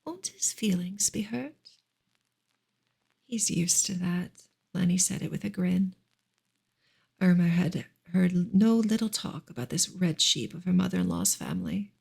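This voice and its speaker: A woman reads fiction aloud as soft ASMR narration. Her voice is breathy and husky, often drifting into a whisper, with a gravelly crackle at the edges. She keeps a gentle, measured delivery. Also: fairly low pitch, lively intonation. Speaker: audiobook-style reader, ASMR host. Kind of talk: soft ASMR novel reading.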